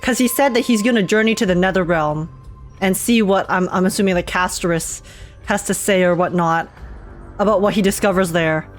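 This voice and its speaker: A woman's voice: mid-range voice, fairly quick, soft background music. A woman game streamer giving casual live reactions in a giggly, teasing way.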